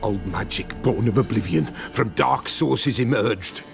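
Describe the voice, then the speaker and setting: An actor playing an eccentric old village soothsayer in a fantasy game, with creaky voice and archaic, riddling, repetitive speech. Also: male voice, lowish voice, lively intonation, unhurried, music in the background. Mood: cryptic, agitated. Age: older. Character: eccentric, batty old village soothsayer who sees ghosts. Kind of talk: video game fantasy acting.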